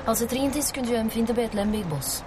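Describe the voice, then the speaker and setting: A woman's voice: a little high, quick pace, noisy background, lightly acted. A young woman with a Flemish accent playing a guarded adult daughter in a naturalistic radio crime drama. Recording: music under the dialogue.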